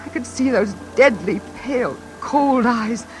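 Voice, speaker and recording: An actress in a 1940s radio suspense drama playing an anxious, frightened woman in her thirties, speaking haltingly and dramatically. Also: on the high side, lightly acted, poor audio. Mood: dread. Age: 30s. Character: frightened single woman haunted by her mother's killer. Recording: old radio recording, faint music behind.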